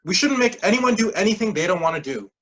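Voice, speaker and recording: An actor as a husky, condescending game leader. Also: mid-range voice, sing-song, fast, fairly bright tone, highs cut off. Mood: earnest. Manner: patronizing, defensive. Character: condescending, stubborn game leader refusing refunds. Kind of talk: staged play reading.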